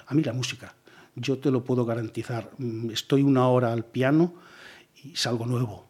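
A man's voice: medium pitch, fairly even intonation, normal speed, darkish tone, slightly rough. A middle-aged man from Galicia, talking expansively as a guest in a casual radio interview, often tagging his phrases with "eh?" and repeated yeses. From Galicia, Spain. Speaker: guest, police commissioner.

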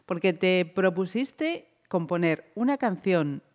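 A woman interviewer asking a musician about his career in a friendly, measured way. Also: fairly low pitch, animated, darkish tone. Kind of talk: radio-style interview.

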